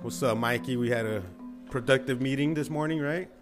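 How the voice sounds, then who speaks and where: A man's voice: medium pitch, brisk, music in the background. Laid-back man hosting a podcast. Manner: laid-back, joking, swears casually. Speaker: podcast host. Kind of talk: casual chat.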